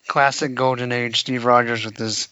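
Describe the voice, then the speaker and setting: A man co-hosting a podcast, chatting casually about comics, animated and laughing often. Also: mid-range voice, moderate pace, dry recording, highs cut off. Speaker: podcast co-host.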